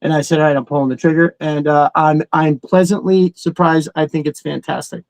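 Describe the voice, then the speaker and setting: A man's voice: on the high side, flat delivery, fairly quick, darkish tone, no echo, low-quality recording. A man hosting a casual livestream, rambling in a slangy, enthusiastic way. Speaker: host of a toy collecting livestream. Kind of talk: casual chat.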